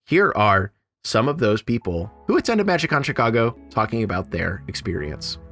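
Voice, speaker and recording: Lively male podcast host over soft music. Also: on the low side, normal speed. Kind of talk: scripted podcast promo and segment intro.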